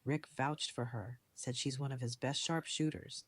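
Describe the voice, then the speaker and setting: A woman playing a composed, decisive community leader in an audio drama, speaking in a measured, diplomatic way. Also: very deep voice, steady tone. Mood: firm, businesslike. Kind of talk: fan audio drama dialogue.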